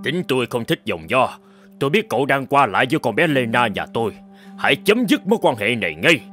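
A man's voice: medium pitch, very animated, brisk, bright, forceful tone. A man voicing a stern, harsh, commanding middle-aged father in a cartoon drama. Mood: cold, scornful. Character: stern, domineering wealthy father, bald and bearded. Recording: music behind the voice.

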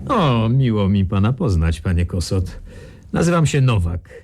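An actor in a radio crime drama playing a smug, menacing crime lord who speaks with mock courtesy and condescension. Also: male voice, on the low side, sing-song, measured pace, darkish tone, a little hiss or hum.

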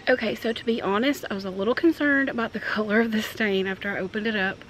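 A woman in her thirties talks casually to her camera out on a porch, in a medium-pitched voice. She is informal and a bit self-deprecating, like a home vlogger chatting to viewers. Outdoor ambient noise sits faintly behind her. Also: fast, rough recording. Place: outdoors on a porch and wooden ramp.

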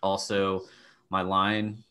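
A man's voice: low voice, completely flat delivery, extremely slow, pushed and bright, clean tone. A young man giving practical fishing advice in a casual panel chat over a video call. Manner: plain, practical explaining. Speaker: fishing coach.

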